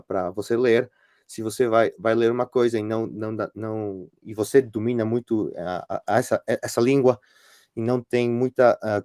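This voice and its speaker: Guest lecturer, middle-aged man, Spanish accent, lowish voice, dry close sound, halting delivery. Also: a little mellow, no echo. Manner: hesitant, many restarts and fillers. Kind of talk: online workshop presentation.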